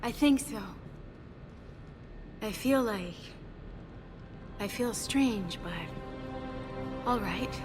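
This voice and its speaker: An actress playing a dazed, bewildered colonist in a video game, speaking hesitantly and haltingly. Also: female voice, a little high, over music. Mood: dazed, confused. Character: dazed, bewildered woman colonist waking from mind control.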